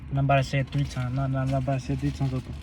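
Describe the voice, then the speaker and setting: A man's voice: medium pitch, monotone, racing, dark tone, a little hiss or hum. A young man talking while he eats in a parked car, in a loose, rambling and profane way for his vlog. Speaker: vlogger. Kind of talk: casual eating vlog monologue.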